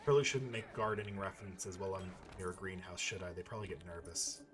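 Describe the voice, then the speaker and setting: A man's voice: sits low, fast talker, over music. A man giving casual let's-play commentary with wry, joking asides as he plays a video game. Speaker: video game player and host.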